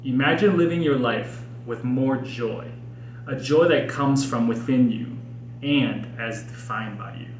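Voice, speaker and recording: A man's voice: mid-range voice, a little slow, dull audio. American man reading in an echoey room. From Minnesota, United States. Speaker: podcast host and author reading own book. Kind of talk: audiobook-style personal reading. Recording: roomy echo with faint background noise.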